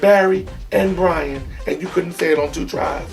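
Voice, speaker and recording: Man, playful banter, high voice, gravelly, faint music behind. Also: normal speed. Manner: teasing, playfully evasive. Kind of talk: casual interview banter. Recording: distant mic with faint music.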